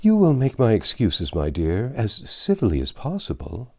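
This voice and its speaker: A man reading a storybook, voicing a gentle, fretful elderly father who fusses anxiously and plaintively. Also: lowish voice, huge pitch swings, normal speed, vocal fry, voice pushed up, heavily acted. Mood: fussing. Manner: fussy, plaintive. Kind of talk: audiobook character voice.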